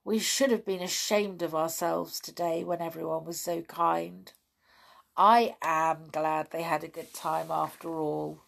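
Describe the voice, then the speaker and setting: A woman voicing an excitable little male pixie in a children's story, squealing with glee. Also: on the high side, slow, vocal fry, pitched above the performer's own voice, heavily acted. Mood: earnest. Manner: squealing, gleeful.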